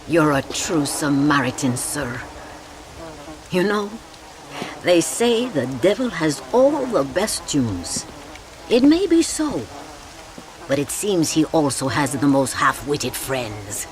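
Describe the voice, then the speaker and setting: A woman's voice: low voice, very animated, slow pace, noisy background, heavily acted. A woman playing a shrewd, worldly Mexican nun in charge of a convent in a video game, speaking formally and sardonically with a Mexican Spanish accent. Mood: wry, urgent. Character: shrewd, wry Mexican mother superior, devout yet worldly. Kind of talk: video game cutscene acting.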